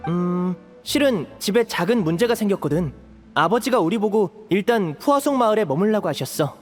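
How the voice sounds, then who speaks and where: Boyish game magician: high youthful voice, polite and welcoming, faint music behind. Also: male voice, animated, moderate pace. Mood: polite, composed. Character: polite, friendly, boyish young male stage magician. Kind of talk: Korean video game voice acting.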